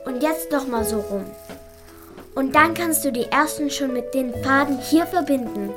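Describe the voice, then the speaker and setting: Cheeky schoolgirl friend for children's radio play, adult actress: very high voice, confident, brisk, teasing. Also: over music, close to an everyday voice. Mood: brisk, instructive.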